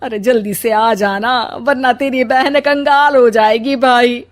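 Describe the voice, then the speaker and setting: Lady doing jealous bossy old mother-in-law, cartoon comedy, slowish dramatic griping. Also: fairly high pitch, steady tone. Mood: complaining, plotting. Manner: dramatic complaining delivery. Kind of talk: comic cartoon story acting.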